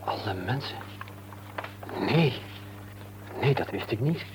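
An actor playing a modest, courteous gentleman in a radio play, speaking politely, formally and with some hesitation. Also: mid-range voice, animated, slow, creaky. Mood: taken aback. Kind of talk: Dutch radio play acting. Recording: faintly noisy old radio recording with hum.

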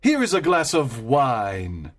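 A man voicing a boastful, loud army sergeant in a read-aloud story, slow and emphatic. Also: medium pitch, wildly swooping pitch, exaggerated voice.

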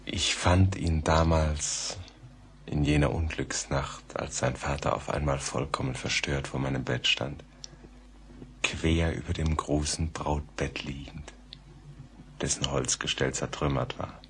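Haunted radio-play narrator, bottom-deep voice, grave, brooding, slow, faint recording noise. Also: male voice, steady tone, fairly bright tone, exaggerated voice. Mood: somber, measured. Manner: slow, measured, brooding, sometimes near whisper. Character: grave, haunted male narrator recalling a tragedy. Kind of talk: German radio play narration, letter-like storytelling.

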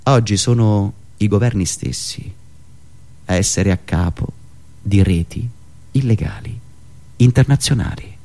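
A man delivering a satirical political monologue in an indignant, sarcastic, declamatory manner with dramatic pauses and repetitions. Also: lowish voice, dark tone. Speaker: satirical monologist and radio show host.

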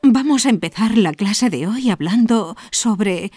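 A grave, troubled schoolteacher. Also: female voice, medium pitch, animated, a little mellow, no echo, studio quality. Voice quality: grave, measured. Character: earnest female schoolteacher, serious and troubled. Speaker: teacher. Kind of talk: radio drama acting.

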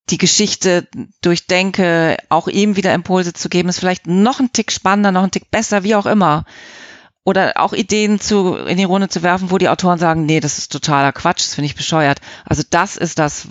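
A woman's voice: lowish voice, no echo, lo-fi sound. A middle-aged woman giving casual, reflective interview answers, thinking aloud in long, rambling explanations. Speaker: audio drama producer and editor, interviewee.

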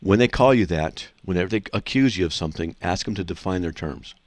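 Man hosting call-in radio, low voice, lecturing kinda assertive, dry close mic. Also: lively intonation, fast talker, no room sound. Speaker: radio show host answering callers. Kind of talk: call-in radio Bible answers.

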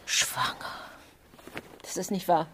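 A woman's voice: slow, fairly bright tone, a little hiss or hum. An actress playing a guarded, torn wife and working mother in an understated, clipped way in a radio drama. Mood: hurt.

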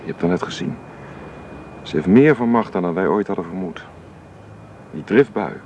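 Over light background noise, a middle-aged father in a radio play. His voice is fairly low, wistful and affectionate. He hesitates and repeats words as he reaches for what he means. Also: lively intonation, moderate pace, a little mellow. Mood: quiet, concerned. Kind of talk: naturalistic radio drama acting. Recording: noisy radio play recording.

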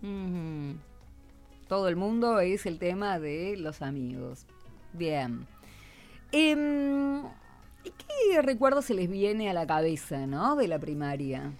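Woman, Argentine accent, lively intonation, conversational radio host, teacherly questions, faint music behind. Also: on the low side, slow pace. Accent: Argentine (Rioplatense). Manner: informal, teacherly, prompting with questions. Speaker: radio host interviewing schoolchildren.

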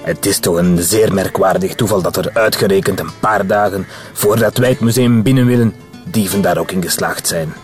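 A man acts out a calculating Spanish conspirator in a radio drama. His voice is low, with a Spanish accent and bursts of Spanish phrases, and music plays clearly behind him. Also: moderate pace, natural voice. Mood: cold, plotting. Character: suspicious, calculating Spanish militant conspirator, leader type.

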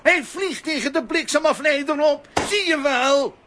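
A man's voice: very high voice, a little slow, pushed and bright, exaggerated voice. An actor playing a simple, kindly balloon seller who calls his wares and stammers nervously, in a children's radio play. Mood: anxious. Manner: street-vendor calls, stammers when pressed.

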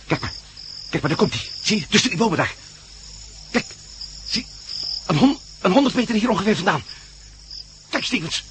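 Animated adventurer man, noisy radio play. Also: on the low side. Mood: wary, wry, then excited. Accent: Dutch. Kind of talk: Dutch radio play acting. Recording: noisy old radio drama with outdoor ambience.

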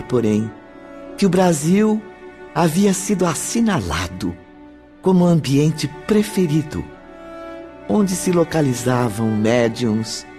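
Brazilian guy narrating a radio drama, slow and solemn, music clearly behind him. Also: on the high side, darkish tone. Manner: solemn, formal storytelling. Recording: background music under the voice.

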